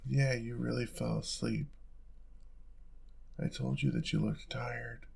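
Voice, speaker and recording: A young man playing an affectionate, playful roommate in an intimate audio roleplay, talking in a soft, casual, teasing way. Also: medium pitch, flat delivery, slow pace, gravelly, husky, full character voice. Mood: gentle, caring.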